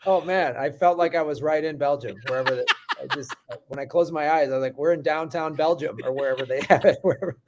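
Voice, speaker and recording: A man's voice: fairly high pitch, quick pace, few pauses, a little mellow, a little hiss or hum. A man hosting a comedy podcast, riffing with sarcastic, crude jokes in casual chat.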